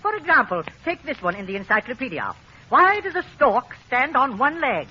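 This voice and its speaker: An actor playing a prim, helpful bookstore keeper with proper, fussy diction in a 1940s radio comedy. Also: male voice, extremely high pitch, sing-song, normal speed, pushed and bright, heavily acted. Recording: muffled, slightly noisy 1940s radio broadcast.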